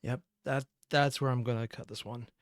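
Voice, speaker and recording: Casual commentary host, medium-pitched, invested and halting, in a dry close recording. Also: fairly even intonation, no echo. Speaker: reaction video host.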